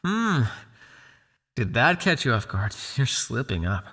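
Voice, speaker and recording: A man's voice: mid-range voice, sing-song, slow pace, background noise. A man playing a smug, possessive vampire crime lord in an ASMR roleplay, with a taunting, silky, condescending delivery. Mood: smug, probing. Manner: taunting, silky, condescending with sighs and soft shushing.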